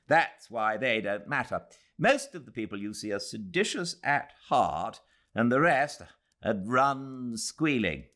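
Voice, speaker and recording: A man's voice: mid-range voice, very animated, a little slow, sharp tone, voice pushed up. A male narrator voicing a pompous, condescending young colonial official with a British accent, declaiming his opinions in a storybook reading. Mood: haughty, dismissive.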